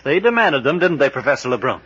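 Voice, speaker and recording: An actor playing a casual, matter-of-fact adventurer in a 1940s radio serial, with a clipped delivery. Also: male voice, a little high, very animated, quick pace, fairly bright tone, slightly rough, no room sound, full character voice. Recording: muffled vintage 1940s radio recording.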